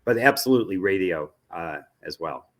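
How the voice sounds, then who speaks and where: An American man giving explanatory interview answers as an expert guest, measured and often halting to rephrase himself. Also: sits low, expressive. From United States. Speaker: interview guest, research company president.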